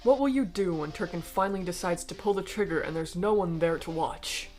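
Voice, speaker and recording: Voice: medium pitch, soft background music, lightly acted. A performer in a fan dub voicing a cold, aristocratic alien noble who speaks formally and eloquently, bitter yet persuasive. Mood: resentful, pointed. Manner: formal, eloquent, measured speech. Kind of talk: fan-dub voice acting of comic dialogue.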